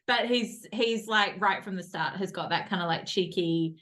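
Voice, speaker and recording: Woman, podcast host, casual chat, frequent laughs, lots of fillers, mid pitch. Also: sharp tone. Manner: chatty, laughs often, many "like" fillers.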